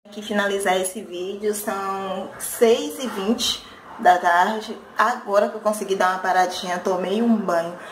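A woman's voice: mid-range voice, fairly even intonation, moderate pace, noisy background. A woman in her thirties from Brazil, chatting casually as she lists her monthly groceries in a home vlog, recorded on a handheld phone in her kitchen. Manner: chatty, listing items with fillers like "né". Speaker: vlogger. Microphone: handheld phone camera.

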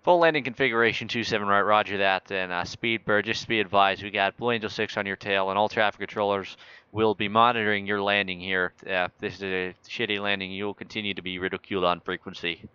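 A man's voice: fairly low pitch, steady tone, fast, sharp tone, clean tone, dull audio. A man talking as an air traffic controller in a flight simulator game, joking in mock-official radio phrasing.

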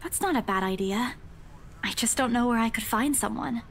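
An actress playing a frightened, stubborn young woman in a visual novel, with a slightly husky voice. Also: on the high side, normal speed, slight background noise, natural voice. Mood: hopeful.

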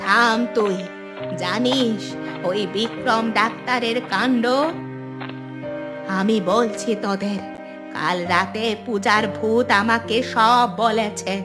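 A woman's voice: a little high, fairly even intonation, over music. A woman voicing an outspoken, indignant village mother in a scripted cartoon, speaking emphatically. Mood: stern, revealing. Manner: emphatic, slow, scolding.

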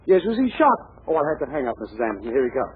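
An actor playing an excitable, blustering drugstore boss in a 1940s radio comedy, speaking emphatically. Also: male voice, mid-range voice, very fast, close to an everyday voice. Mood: excited, scheming. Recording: old 1940s radio broadcast, muffled, hissy.

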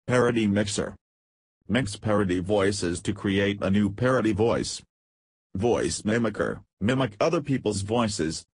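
A man with a fairly low, noticeably rough-edged voice narrates a product demo from a script. The sound is dry and close, with little room in it. He delivers it as short headed segments and feature lists. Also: no room sound.

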